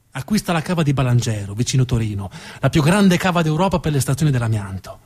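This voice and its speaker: Husky man telling a dramatic theatre story. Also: medium pitch, a little mellow. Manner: deliberate, emphatic delivery with dramatic pauses. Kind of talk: dramatic scripted stage narration.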